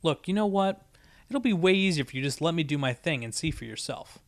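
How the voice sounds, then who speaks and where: Guy doing a show-off pegasus mare, brash and impatient, bouncy pitch, story reading. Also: animated, brisk, no room sound, studio quality. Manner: casual, boastful rattling off of jargon. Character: brash, impatient female pegasus pony flyer, eager show-off.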